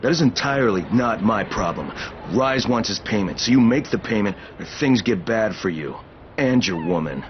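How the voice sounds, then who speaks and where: A low-voiced, terse and cynical field operative from a video game, played by a man, gruff and dry. The sound is a little muffled, with clear noise behind him. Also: animated, moderate pace, lightly acted. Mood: cold, threatening. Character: gruff, cynical undercover operative turned errand-runner. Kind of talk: cinematic video game acting.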